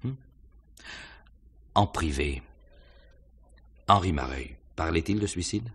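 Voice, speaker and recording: A man's voice: low voice, animated, takes it slowly, a bit bright, breathy edge, full character voice. An actor playing a courteous, probing police inspector in a 1950s-style French radio mystery, hesitant and musing as he questions. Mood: probing, thoughtful.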